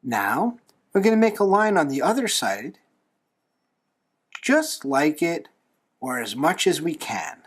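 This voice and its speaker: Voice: medium pitch, very animated, slow pace, long pauses, breathy edge. A tutorial host talking through a drawing step by step in a playful, encouraging manner. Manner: playful, light humour, encouraging.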